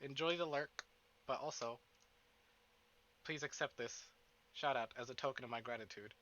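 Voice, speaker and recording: A man chatting casually to livestream viewers in an animated, self-interrupting, playfully profane way, as host of a game stream. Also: medium pitch, moderate pace, lo-fi sound. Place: indoors at a desk.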